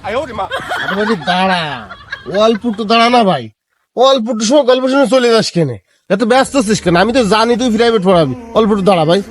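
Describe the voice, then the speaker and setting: A man playing a compulsive, excitable village storyteller in a comedy skit, insistent and repetitive, with a rural Bangladeshi Bengali accent. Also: high-pitched, quick pace. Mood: pleading, insistent. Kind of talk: comedy skit acting with colloquial dialogue. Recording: clearly noisy recording with clicks.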